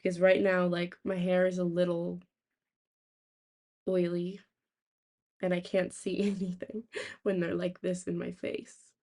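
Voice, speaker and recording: Raspy young woman, slow and halting. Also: fairly low pitch, monotone, warm tone. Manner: halting, rambling, thinking aloud. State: sick with a sore throat. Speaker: live streamer. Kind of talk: casual chat with live stream viewers. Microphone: laptop, without usual microphone.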